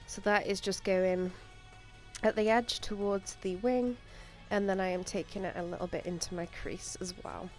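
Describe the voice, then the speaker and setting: A woman's voice: medium pitch, fairly even intonation, moderate pace, a little mellow. A young woman with a slightly stuffy voice from a cold, rambling casually through a makeup tutorial with plenty of ums and likes. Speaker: makeup vlogger. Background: faint music.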